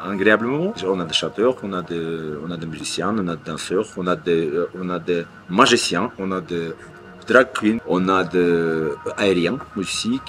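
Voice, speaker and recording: A man's voice: low-pitched, moderate pace, fairly bright tone. A man speaking as an artistic director in an interview, hesitant, with many fillers and restarts. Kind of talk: interview answers.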